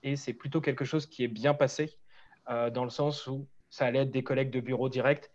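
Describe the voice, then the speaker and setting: A man's voice: mid-range voice, steady tone, brisk, a little mellow, dull audio. A man on an online panel giving earnest advice about job interviews.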